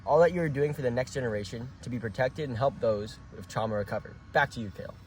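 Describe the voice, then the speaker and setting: A man's voice: medium pitch, fast talker, darkish tone, poor audio. A young man playing a news analyst, earnestly reading scripted facts in an amateur news segment. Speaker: mock news analyst and field reporter.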